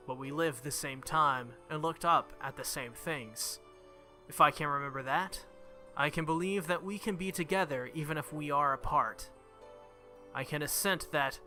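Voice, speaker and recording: A man hosting a game playthrough, reading the story aloud dramatically with casual reactions and the odd stumble over words. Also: a little high. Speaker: let's play host reading a visual novel aloud. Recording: loud game music behind the voice. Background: game music.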